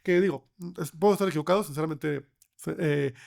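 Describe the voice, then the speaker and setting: A slightly hoarse man with a Mexican accent explaining things conversationally as a podcast guest, often ending on tag questions. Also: a little high, fast talker, a little mellow. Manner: checks in with frequent tag questions. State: slightly hoarse from getting over a cold. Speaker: podcast guest, film researcher.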